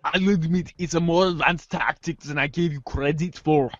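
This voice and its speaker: A man reads as a brainy teenage girl, sardonic and skeptical. The voice is somewhat high for a man and delivers long, dry, wordy lines. The recording is close but muffled and lo-fi. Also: normal speed, dry recording, natural voice. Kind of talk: readers theater of web comic chat logs. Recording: dull, lo-fi microphone sound.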